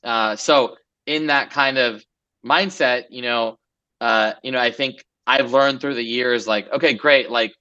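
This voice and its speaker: A man in his forties from Los Angeles talks through his story as an interview guest, looping and relaxed with plenty of fillers. His voice is bright and forceful at a middling pitch. The recording is somewhat dull and lo-fi. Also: measured pace, clear, resonant tone. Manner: relaxed, rambling, many fillers. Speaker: guest entrepreneur. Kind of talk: casual interview chat.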